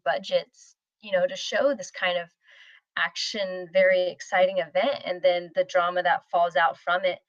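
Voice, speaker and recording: A woman giving informal workshop notes in a conversational, tentative, gently constructive way. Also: mid-range voice, no echo, lo-fi sound. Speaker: participant giving script notes.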